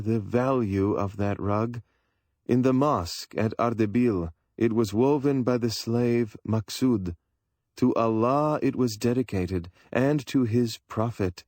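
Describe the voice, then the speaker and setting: A man's voice: medium pitch, a little mellow, no echo, studio quality, voice pushed up, lightly acted. A man voicing a sinister thin stranger who speaks in a formal, faintly contemptuous way.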